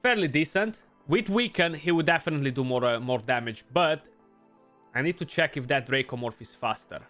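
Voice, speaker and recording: A young man with a Romanian accent talks through a game match in a casual, thinking-aloud way. His voice is bright and forward, at a middle pitch for a man. Faint music plays under him. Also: lively intonation, a little fast. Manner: casual, thinking aloud, frequent "you know". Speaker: gaming YouTuber. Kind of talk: gameplay commentary.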